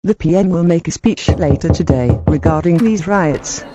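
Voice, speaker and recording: A woman acting as a newsreader in a fictional emergency broadcast, delivering bulletins in a flat, read-aloud way. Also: lowish voice, normal speed, dull audio, background noise. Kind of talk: scripted amateur audio drama.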